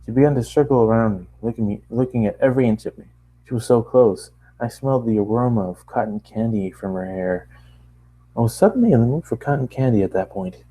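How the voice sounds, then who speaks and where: A man narrating a first-person story as an amateur reader, halting now and then to correct his phrasing. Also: mid-range voice, mellow, low-quality recording.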